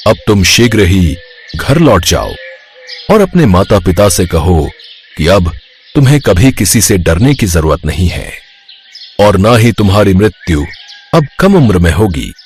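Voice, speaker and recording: A man's voice: low-pitched, sing-song, unhurried, slight background noise, full character voice. A man voicing a mighty, solemn god in a devotional story, with a grand, authoritative manner. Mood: solemn, benevolent. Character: mighty, solemn male god granting boons.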